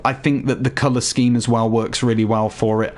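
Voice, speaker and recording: A man with a slightly hoarse voice chatting casually to viewers while walking them through a game showcase. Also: medium pitch, flat delivery, fairly quick. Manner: chatty, addresses viewers directly. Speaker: gaming YouTuber. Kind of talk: casual game tutorial voice-over.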